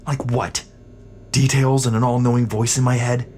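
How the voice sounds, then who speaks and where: A man's voice: mid-range voice, flat delivery, normal speed, a little mellow, natural voice. A man playing a weary, defiant sergeant under interrogation in a sci-fi audio drama, blunt and embittered. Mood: frustrated, defiant. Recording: noisy ambient background.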